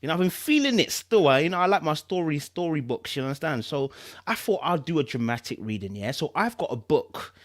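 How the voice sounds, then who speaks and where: A man with a London accent hosting a live stream, moving between casual chat and expressive reading from a book. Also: fairly high pitch, a little fast. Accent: British, London. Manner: informal, repetitive, checks in with listeners.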